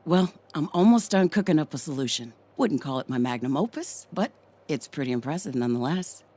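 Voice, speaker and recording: A woman's voice: low voice, expressive, a little fast, warm tone, husky. A brainy young female scientist from a video game. She talks casually, with dry sarcasm over a hopeful temper. The delivery is conversational and wry. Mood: dry, proud.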